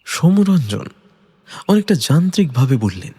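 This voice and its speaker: A man reads a detective story in a dramatic, scripted style. His voice is somewhat high and mellow, with very lively pitch movement. Soft music runs behind it. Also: normal speed, husky. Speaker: narrator.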